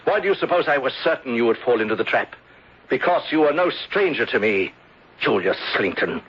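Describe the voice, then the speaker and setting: Vengeful, husky accuser in radio drama. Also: male voice, medium pitch, very animated, a bit bright. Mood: accusing. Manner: theatrical, deliberate accusatory speeches. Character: vengeful bereaved man unmasking his beloved's murderer, accusing and bitter. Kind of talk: old-time radio drama acting. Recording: dull lo-fi vintage radio recording.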